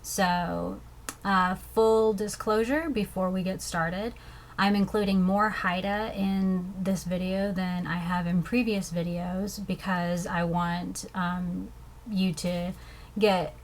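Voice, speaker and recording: A young woman from the States, teaching language phrases slowly and repeating each one before translating it. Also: medium pitch, slight background noise. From southern United States. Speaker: language learner teaching vocabulary. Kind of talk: slow, careful language lesson with repeated phrases.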